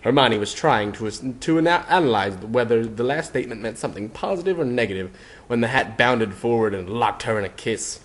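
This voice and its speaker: A man's voice: medium pitch, lively intonation, a little fast. A man narrating fan fiction as a mock-dramatic reading. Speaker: narrator, reader.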